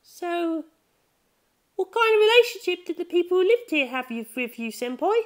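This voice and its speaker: Man acting a high-school girl, high voice, timid, curious, questioning, audiobook character. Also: big pitch swings, normal speed. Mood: tentative questioning.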